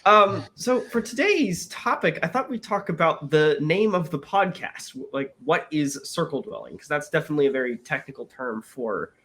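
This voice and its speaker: A man with a cold hosts a casual podcast, his voice nasal and congested. He sits a bit high for a man and moves his pitch around a lot, keeping things upbeat. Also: moderate pace, hardly any pauses. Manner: upbeat, lively, laughs often. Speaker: podcast host.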